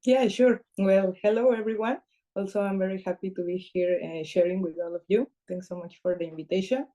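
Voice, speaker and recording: Mellow woman in her twenties from Mexico City. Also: mid-range voice, fairly even intonation, a little fast. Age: 20s. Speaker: physics graduate panelist. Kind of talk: panel discussion. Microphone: video call.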